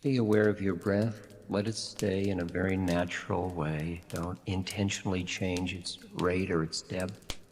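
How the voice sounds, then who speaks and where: Very deep-voiced man guiding meditation. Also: fairly even intonation. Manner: gentle, unhurried, soothing instruction with long pauses. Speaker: meditation guide. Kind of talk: guided meditation. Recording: long reverb, audible background noise and clicks.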